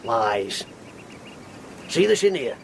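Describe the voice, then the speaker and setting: A very old Yorkshire sailor for radio drama. He is garrulous and blunt, middling in pitch, unhurried and folksy, with faint noise around him. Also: very animated, creaky, heavily acted. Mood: cynical glee. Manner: folksy, rambling, muttering asides. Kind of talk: period radio drama character acting.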